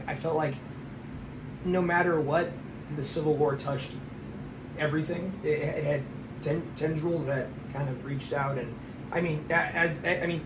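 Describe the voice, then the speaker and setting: A man hosting an interview who stammers and circles back over his words. His voice sits a little high. It is recorded in an echoing room with a clear layer of background noise. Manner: halting, stammering, repeats words. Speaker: interview host. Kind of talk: casual interview.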